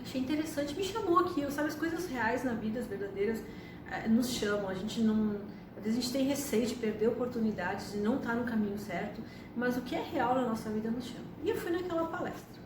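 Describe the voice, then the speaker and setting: A woman's voice: a little high, expressive, brisk. Brief: woman, 50s, chatty storyteller, distant mic, noisy echoey room. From Argentina. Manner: chatty, frequent tag questions. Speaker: astrologer and psychotherapist. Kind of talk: personal storytelling to camera.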